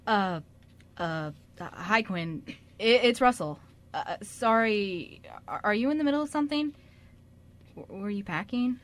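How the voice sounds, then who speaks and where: A woman's voice: medium pitch, expressive, measured pace, natural voice. A woman playing an earnest, flustered young man in an amateur radio drama, with a hesitant, stammering delivery. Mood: nervous.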